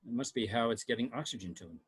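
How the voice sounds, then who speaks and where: A man playing a clinical, clipped science officer with a husky voice in an amateur sci-fi table read. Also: mid-range voice, lively intonation, rapid-fire. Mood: cool, cautious.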